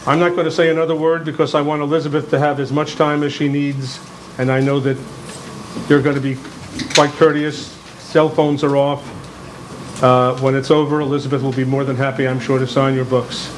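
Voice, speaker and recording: A man's voice: medium pitch, little pitch movement, fairly quick. A man acting as event host, giving a formal introduction of a guest speaker. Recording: noisy live event room recording.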